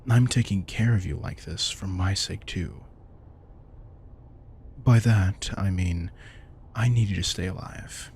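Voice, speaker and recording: A man playing a protective, wry and tender vampire king in an intimate audio roleplay, soft-spoken and casual. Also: low voice, slow, long pauses, slight background noise. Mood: serious, vulnerable. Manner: soft-spoken, casual, reassuring, occasionally swearing. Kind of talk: intimate audio roleplay, one-sided dialogue.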